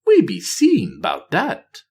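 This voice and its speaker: A man's voice: high voice, huge pitch swings, slow, creaky, a little mellow, pitched above the performer's own voice, full character voice. A man voicing a mocking troll death spirit in a storybook reading, taunting in a patois-like dialect. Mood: mocking, jeering.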